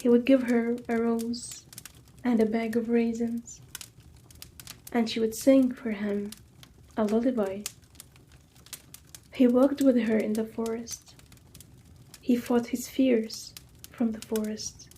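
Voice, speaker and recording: A woman narrating a scripted ASMR story in a soft, hushed manner with drawn-out phrasing. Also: on the high side, little pitch movement, slight background noise.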